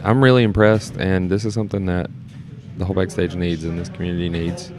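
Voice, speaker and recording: A man's voice: low voice, flat delivery, brisk, a crowd in the background. A man speaking as a podcast guest in an informal, earnest way, recorded in a shared studio space in a theater. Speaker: podcast guest, theater performer and board member. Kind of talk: casual podcast conversation. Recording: clear background noise.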